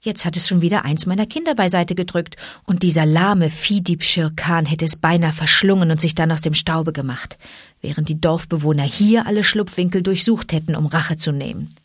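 A fierce, protective mother wolf from a storybook, defiant and loud, as a woman reading aloud puts her on. Her voice sits low for a woman, and the sound is dry and close. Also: moderate pace, dry recording. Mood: tender.

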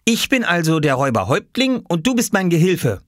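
Storybook big brother, small boy, played by a man: high, animated, bossy, dead serious about his games. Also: brisk, no room sound, studio quality, exaggerated voice. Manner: commanding, self-important. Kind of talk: children's storybook character voice.

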